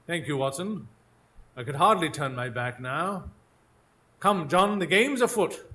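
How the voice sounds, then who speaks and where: A man acts out a clever, haughty detective for a radio drama. His delivery is precise and formal, pitched fairly high, with very animated swings of intonation. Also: measured pace. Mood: resolute. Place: small theatre stage, recorded live in front of an audience.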